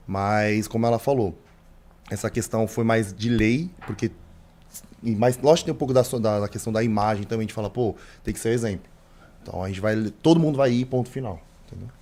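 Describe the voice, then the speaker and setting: Hoarse man, halting chat. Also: on the low side, darkish tone. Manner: halting, many restarts. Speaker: interpreter. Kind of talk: casual chat.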